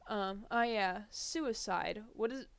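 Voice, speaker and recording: Chatty young woman, crisp dry voice. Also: medium pitch, slow, no room sound. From New Jersey. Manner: chatty, rambling, many fillers. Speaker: streamer. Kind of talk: casual chat while gaming.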